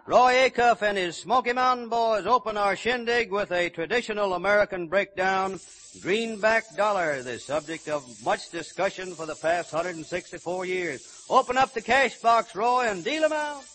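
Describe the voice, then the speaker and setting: A man's voice: high-pitched, moderate pace, fairly bright tone, poor audio. A man working as a folksy, jocular master of ceremonies on a vintage 1940s radio broadcast.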